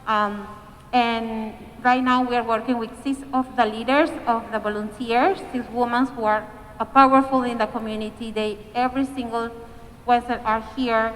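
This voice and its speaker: A woman's voice: fairly high pitch, a bit bright. A woman from Peru with a Peruvian Spanish accent, describing community programs at a panel in an enthusiastic, rambling way. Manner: enthusiastic, rambling, laughing between points. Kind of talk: panel remarks. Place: museum event hall. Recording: echoey hall with faint noise.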